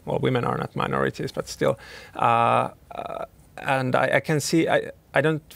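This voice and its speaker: A man's voice: mid-range voice, very animated, moderate pace, creaky, a bit bright. A man in his thirties, a company CEO, answering panel questions in a hesitant way with many restarts and fillers. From Finland.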